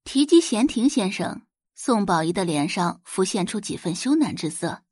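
A woman's voice: medium pitch, sing-song, normal speed, a little mellow, slightly rough, no room sound, clean studio sound. A woman narrating a novel as an audiobook, in a dramatic scripted storytelling style.

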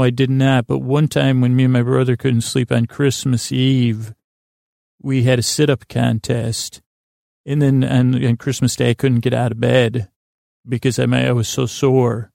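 A man hosting a podcast in a meandering, halting monologue, often repeating words and restarting sentences, with a self-deprecating manner. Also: medium pitch, gravelly, darkish tone, no echo, clean studio sound. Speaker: podcast host. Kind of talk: rambling monologue introducing a sleep podcast.

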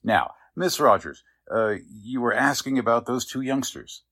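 A man's voice: medium pitch, unhurried, a bit bright, husky. A man playing a courteous, self-assured small-town doctor in 1940s radio drama style, genial and a little patronising.

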